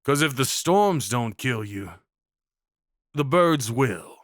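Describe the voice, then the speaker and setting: Male actor as a courteous cowboy, very unhurried folksy drawl, reassuring, rustic slang, close dry sound, audio roleplay. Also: medium pitch, lively intonation, a bit bright, no room sound. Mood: matter-of-fact warning. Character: friendly, courteous cowboy of the Old West, gallant and reassuring. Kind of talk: audio roleplay acting, addressing the listener.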